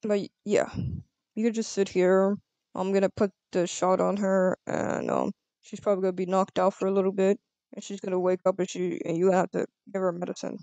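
A casual, halting speaker improvising a part in a video game roleplay. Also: medium pitch, flat delivery, creaky, a little mellow, no room sound, highs cut off. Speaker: player acting as a doctor in a game roleplay.

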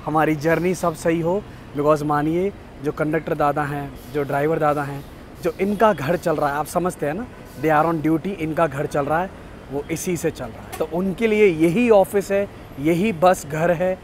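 A man's voice: a little high, fairly even intonation, a little slow, slight background noise. A young man, the host of a travel vlog, talks to viewers about a bus trip and points things out to them, first at an outdoor depot and then inside a sleeper bus, on a camera held at arm's length. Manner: chatty, keeps pointing things out to viewers, mixes in English phrases. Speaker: travel vlogger. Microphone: phone or action camera at arm's length.

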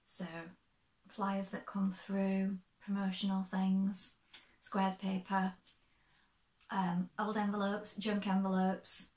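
Woman, 50s, crafting vlogger; slightly croaky, chatty explainer, roomy echo, fixed desk camera. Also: mid-range voice, monotone, slow, a little mellow. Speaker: sewing vlogger. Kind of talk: casual show-and-tell. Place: bright sewing room, indoors.

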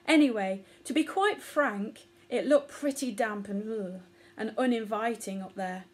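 A woman's voice: on the high side, close to an everyday voice. A woman voicing a cheeky, confident little mouse in a children's story, chatty, brash and teasing.

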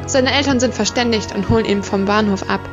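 A woman's voice: on the high side, a little fast, highs cut off, over music, natural voice. An actress playing a relieved, reassuring mother in a radio drama.

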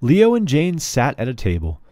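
A man's voice: mid-range voice, huge pitch swings, normal speed, dark tone, no echo, studio quality. A man reading a children's book aloud as a storyteller. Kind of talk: storybook read-aloud narration.

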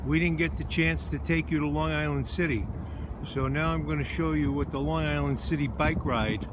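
Man narrating his bike ride, loud wind. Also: on the high side, moderate pace. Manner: relaxed, pointing things out as he rides. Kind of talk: casual narrated ride tour. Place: outdoors, riding a bike along a windy waterfront. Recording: loud wind noise on a phone mic.

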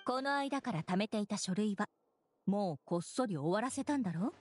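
An actress playing a composed young female knight commander in an anime game, formal and gently authoritative. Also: medium pitch, expressive, brisk, faint music behind, close to an everyday voice. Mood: kindly firm. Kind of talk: Japanese anime game acting.

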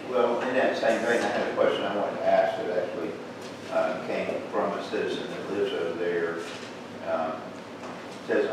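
A man with a deep voice speaks at a board meeting. The room is very echoey and noisy, and he is picked up from a distance. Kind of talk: questions and reading aloud at a public meeting.

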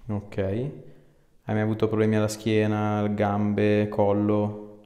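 Low-voiced man in an echoey room. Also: completely flat delivery, slow, warm tone. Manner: short, informal instructions and questions. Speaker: osteopath. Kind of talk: hands-on clinical consultation, casual instructions. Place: small clinic treatment room, indoors. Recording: echoey small treatment room.

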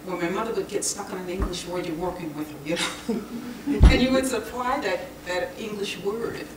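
A woman's voice: medium pitch, normal speed. A woman whose first language is German answers audience questions on a panel, chatting easily, laughing and searching for words now and then. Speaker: panel speaker. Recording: roomy, distant, noisy hall recording.

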